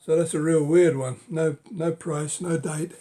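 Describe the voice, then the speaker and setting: A middle-aged man with a cold, speaking hoarsely and haltingly in a casual show-and-tell of his books, often dropping to a whisper. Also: fairly high pitch, steady tone, mellow. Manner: halting, many ums and uhs, drops to a whisper. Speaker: book collector vlogger.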